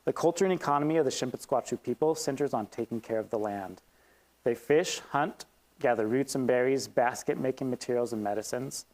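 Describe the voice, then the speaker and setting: A man's voice: mid-range voice, fairly quick, darkish tone. A man hosting a community event, reading a prepared statement, then introducing the speaker with a few ums. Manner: reads steadily, then loosens with ums. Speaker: event host. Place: event hall with audience.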